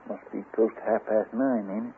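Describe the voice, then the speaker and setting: A plain-spoken western deputy in a radio drama. His voice is on the low side, with a folksy, questioning drawl. The vintage recording is phone-thin with slight hiss. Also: fairly even intonation, normal speed, creaky. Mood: hushed curiosity. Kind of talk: old-time radio western acting. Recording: noisy, tinny old-time radio recording.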